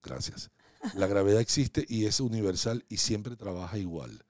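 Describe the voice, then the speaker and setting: Deep-voiced man, dry studio sound. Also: moderate pace, darkish tone, dry recording. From Venezuela. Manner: playful, asks teasing questions, storytelling. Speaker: podcast guest. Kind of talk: casual interview chat. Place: small home podcast studio. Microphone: studio mic on boom arm, headphones.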